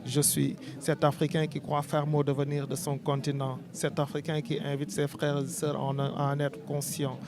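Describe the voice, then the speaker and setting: A man with a Senegalese French accent, interviewed at an outdoor event, speaking in an earnest, measured, rhetorical way. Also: fairly high pitch, monotone. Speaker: artist interviewee. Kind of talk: street interview, spoken-word declamation. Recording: crowd noise behind.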